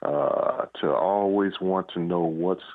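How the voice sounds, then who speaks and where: A low-pitched man speaks as an interview guest over a narrow, phone-like line. He answers casually and haltingly, in short phrases with pauses between them. The sound is dry, with no room echo. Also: steady tone. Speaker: interview guest, crime fiction author and police officer.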